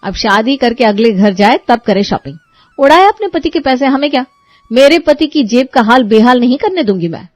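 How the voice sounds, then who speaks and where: A woman's voice: on the high side, animated, moderate pace, dry recording, pitched above the performer's own voice, natural voice. Woman playing a bossy young bride, sarcastic. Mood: stern. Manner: commanding, sarcastic. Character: bossy, sharp-tongued young new bride ordering in-laws around. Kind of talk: cartoon story dialogue.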